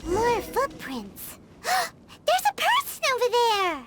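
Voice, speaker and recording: Game companion: a tiny, eager fairy girl, squeaky and very high-pitched, lively delivery. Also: full character voice. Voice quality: squeaky childlike voice. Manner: eager, quick, chattering. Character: tiny female floating fairy-like companion, excitable. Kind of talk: game voice acting. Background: game music.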